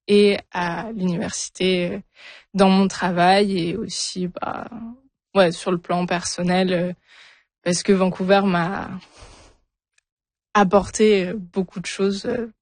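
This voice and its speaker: A woman's voice: lowish voice, level tone, slow, long pauses, a little mellow, no room sound. A young woman from France answering interview questions casually, hesitating often with fillers and restarts. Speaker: interview guest, new PhD in physics.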